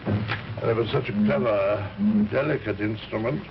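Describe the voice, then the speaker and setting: An actor playing a genial, eccentric scientist villain in an old broadcast drama, speaking with hesitant, unrepentant politeness. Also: male voice, fairly low pitch, vocal fry. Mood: mild regret. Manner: courteous, hesitant, unhurried politeness. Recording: muffled vintage broadcast, faint noise.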